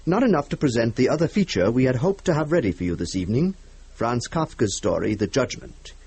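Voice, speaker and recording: Husky male radio announcer, lively intonation. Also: mid-range voice, brisk, dry recording. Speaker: radio drama host and announcer. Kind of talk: measured radio announcing, reading credits.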